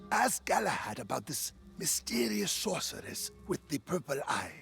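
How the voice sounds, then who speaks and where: An actor playing a grave, wise mentor warden in a fantasy game, speaking solemnly with a creaky voice and dramatic swoops. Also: male voice, medium pitch, sing-song, normal speed, music in the background.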